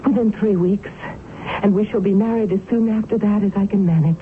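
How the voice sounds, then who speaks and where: An actress playing a devoted, genteel young fiancée in a period radio drama, speaking in formal, tender, old-fashioned phrases. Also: female voice, mid-range voice, steady tone, fairly quick, close to an everyday voice. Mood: fond, assured. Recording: noisy, tinny old radio broadcast recording.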